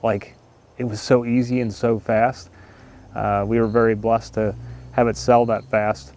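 A man's voice: lowish voice, little pitch movement, measured pace, darkish tone. An older man vlogging casually to the camera outdoors in his garden, rambling along with lots of fillers and restarts. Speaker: homestead vlogger.

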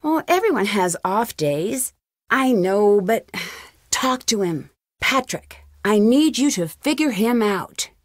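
An actress playing a young amateur detective in a video game, upbeat, caring and wry, with a friendly, lightly teasing delivery. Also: female voice, mid-range voice, animated, slow pace, no room sound, clean studio sound. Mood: reassuring. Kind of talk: scripted video game voice acting.

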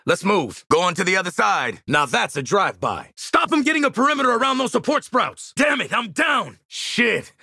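Cocky no-nonsense american hitman for a video game, gritty voice, pitch swings a lot, clipped sarcastic squad orders, dry close recording. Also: sing-song, normal speed, hardly any pauses, sharp tone, no room sound, full character voice. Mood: urgent, shouting. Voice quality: gravelly, husky. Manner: clipped, sardonic, commanding. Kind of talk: video game combat barks and banter.

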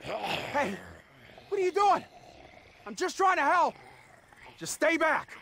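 An American actor playing a frightened, pleading motorist fleeing the undead in a game cutscene. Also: male voice, very high-pitched, sing-song, takes it slowly, vocal fry, sharp tone, slight background noise, heavily acted. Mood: alarmed, concerned. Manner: panicked, pleading. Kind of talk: dramatic game cutscene acting.

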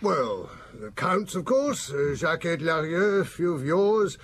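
Higher-voiced police forensics man. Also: big pitch swings, slow pace, a bit bright, full character voice. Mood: dutiful, dry. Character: matter-of-fact police forensics officer reporting to his chief. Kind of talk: radio drama acting. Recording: faintly noisy old radio broadcast.

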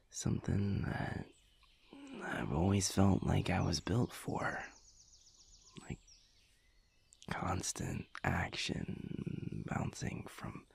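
Male actor as tender werewolf boyfriend, very husky and breathy, half-whispered, reflective, unhurried, intimate audio roleplay. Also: low voice, full character voice. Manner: slow, halting, soft-spoken, musing.

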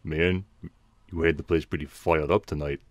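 A man's voice: rumbling low, fairly even intonation, normal speed, over loud music. A man playing a goofy, flirtatious skeleton in a comic dub, drawling jokes in a teasing way. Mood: teasing, amused. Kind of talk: comic dub cartoon acting.

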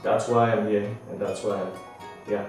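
Young Nigerian-born man, low even voice, earnest statement, roomy sound. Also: normal speed, over music. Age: young adult. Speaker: former exchange student. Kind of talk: prepared statement to camera. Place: indoor room. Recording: echoey room, mic at a distance.

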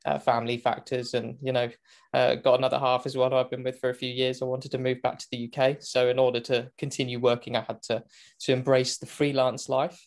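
A British man in his twenties, a motorcycle racing commentator chatting as a podcast guest, self-deprecating and quick to laugh. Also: medium pitch, completely flat delivery. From England. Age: 20s. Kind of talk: casual podcast interview. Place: home, remote call.

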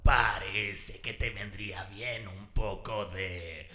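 A man's voice: fairly low pitch, fairly even intonation, slow pace, a little hiss or hum. A man with a Latin American Spanish accent voicing a sinister old janitor in a video game fan dub, eerily soothing and menacingly courteous. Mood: creepily soothing. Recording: echoey, under loud music.

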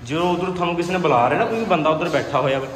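Male, Indian Punjabi accent, slightly high voice, very rapid inquisitive chat, noisy handheld sightseeing recording. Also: animated. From Amritsar, Punjab, India. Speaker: visiting guest on a travel vlog. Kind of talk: casual chat while sightseeing. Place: historic fort, museum rooms and open grounds. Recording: noisy handheld vlog with ambient noise.

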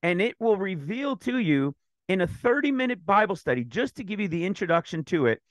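Man, Bible teacher, high voice, dry close sound, a touch raspy today, eager, talks in loose runs with restarts. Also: a little fast, no room sound. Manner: excited, rambling with restarts. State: slightly raspy throat. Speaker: ministry teacher. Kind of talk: screen-share Bible teaching.

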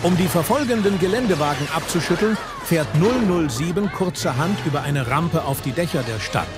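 Male narrator for action audio drama: dramatic, animated, slightly high voice over loud music. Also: fairly quick. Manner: dramatic, present-tense storytelling. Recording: loud film music and vehicles behind. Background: loud music, vehicle sounds.

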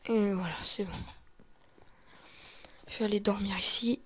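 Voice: mid-range voice, fairly even intonation, measured pace, fairly bright tone, breathy edge, no echo. A gamer narrating a zombie survival roleplay in character, muttering to themself and often dropping to a whisper. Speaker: gaming video creator playing a survivor.

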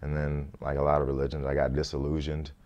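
A man in his thirties with a deep voice, a comedian chatting in an interview in a small studio, easygoing and laughing often, restarting words as he thinks. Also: completely flat delivery, quick pace, a little mellow, highs cut off. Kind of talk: relaxed interview chat. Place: small indoor studio set.

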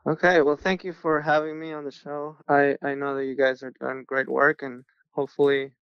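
A man from Mexico tells his story as a podcast guest, his voice gravelly yet smooth, held to a fairly level pitch. He speaks in an earnest, steady way. The sound is dry and a little dull. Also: normal speed, no echo. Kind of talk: casual interview storytelling.

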